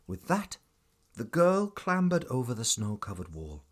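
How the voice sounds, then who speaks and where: A man's voice: mid-range voice, wildly swooping pitch, unhurried. A man narrating a family audio drama as a scripted storybook tale, dramatic and whimsical.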